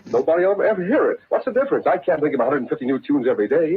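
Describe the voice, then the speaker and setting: An actor playing a glib, cynical big-city hustler in a vintage radio drama, brisk and dismissive. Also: male voice, a little high, sing-song, breathy edge. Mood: smug, cynical. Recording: tinny, muffled old radio recording.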